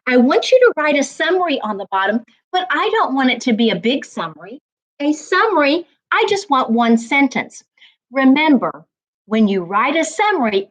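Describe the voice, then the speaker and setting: High-pitched, animated woman reading to children. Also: low-quality recording. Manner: deliberate, emphatic phrasing for young listeners. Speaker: reading coach and storytime host. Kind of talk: expressive children's read-aloud and teaching.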